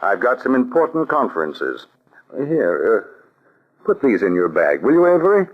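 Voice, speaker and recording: An actor playing an anxious, lovesick army colonel in an old radio drama, speaking in an earnest, halting way. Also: male voice, fairly low pitch, wildly swooping pitch, heavily acted. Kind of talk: 1940s radio acting. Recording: dull lo-fi old radio recording.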